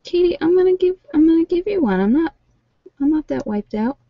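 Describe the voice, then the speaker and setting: A woman chatting casually as a livestream host reading cards, thinking aloud in an informal, halting way, on dull lo-fi audio. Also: extremely high pitch, animated, no echo. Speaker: livestream host doing tarot card readings. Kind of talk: casual live chat with viewers, card reading. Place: at home, indoors.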